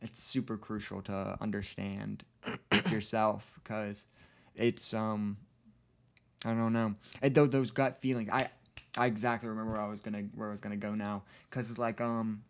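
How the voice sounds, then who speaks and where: A man's voice: fairly low pitch, little pitch movement, normal speed, creaky. A man in his early twenties talking casually and reflectively on a podcast, earnest and rambling, with plenty of slang. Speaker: podcast co-host.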